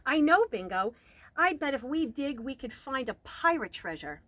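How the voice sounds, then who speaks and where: A woman's voice: sits high, very animated, normal speed, fairly bright tone, no room sound, full character voice. A woman voicing an imaginative little girl from a children's storybook, hesitant yet plucky, with emphatic childlike delivery. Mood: eager, bold. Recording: clear background noise.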